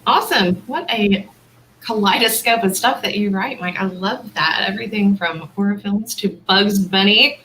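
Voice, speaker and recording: A woman's voice: medium pitch, normal speed, sharp tone, a little hiss or hum, poor audio. A woman hosting a panel chat, enthusiastic and gushing over her guests. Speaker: host.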